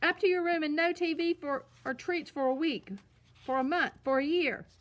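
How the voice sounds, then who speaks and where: An outraged father bear from a children's storybook, acted out by a woman reading aloud. He scolds his cubs in a loud, indignant voice, raised in pitch and leaning hard on his words. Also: moderate pace, lightly acted. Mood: furious.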